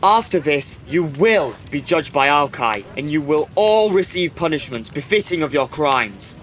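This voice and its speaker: A man's voice: fairly high pitch, expressive, a little slow, a bit bright. A man playing a stern, judgmental servant of a god in a fantasy audio drama, formal and admonishing. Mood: threatening.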